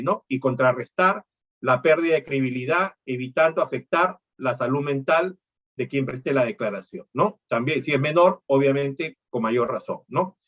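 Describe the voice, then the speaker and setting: A man's voice: a little high, lo-fi sound. A middle-aged man from Peru, lecturing on law and explaining things with rhetorical questions.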